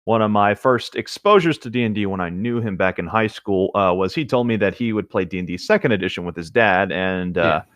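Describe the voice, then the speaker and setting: A man's voice: on the low side, brisk, hardly any pauses, fairly bright tone, no echo, studio quality. A man co-hosting a story-reading show, speaking in an informal, conversational style. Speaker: co-host reading online stories. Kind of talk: casual chat and story reading.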